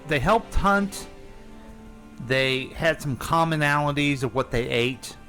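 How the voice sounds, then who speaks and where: A man's voice: fairly high pitch, expressive, takes it slowly, pushed and bright, faint music behind. A man hosting a radio interview as a casual chat, asking questions in an informal, inquisitive way.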